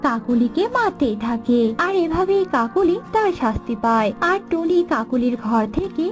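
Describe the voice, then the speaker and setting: A woman's voice: sits high, lively intonation, moderate pace. A woman giving scripted narration for a children's cartoon story. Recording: music behind the voice.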